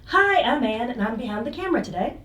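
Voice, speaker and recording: A woman's voice: on the high side, expressive, fast talker, fairly bright tone, distant mic. A woman casually asking questions as an interviewer from behind the camera, away from the microphone. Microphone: distant from speaker, behind camera. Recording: roomy, off-mic behind camera.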